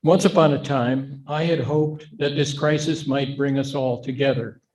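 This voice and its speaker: A mature man speaks to a congregation at a medium pitch. His delivery is earnest and measured, explaining things informally like a guest speaker at a service. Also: fairly even intonation, darkish tone, low-quality recording.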